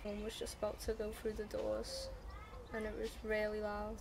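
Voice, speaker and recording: A young female interviewee, giving a halting account as an eyewitness. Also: mid-range voice, monotone, vocal fry. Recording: noisy location interview sound.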